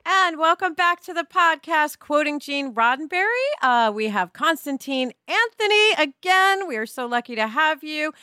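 A woman with a high, bright voice hosts a casual podcast, recorded close and dry. She is effusive and emphatic, swooping lively through her sentences and wandering into asides. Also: moderate pace, hardly any pauses, dry recording. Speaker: podcast host.

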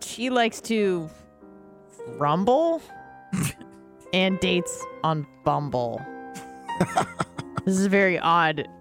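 A woman's voice: lowish voice, expressive, drawn-out words, pauses often. A woman co-hosting a radio show in casual chat, with a wisecracking manner. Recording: music playing behind the voice.

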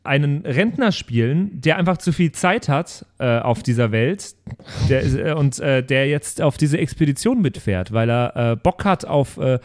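Man, average pitch, casual podcast chat, halting delivery, tabletop player thinking aloud. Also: lively intonation. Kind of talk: casual group discussion.

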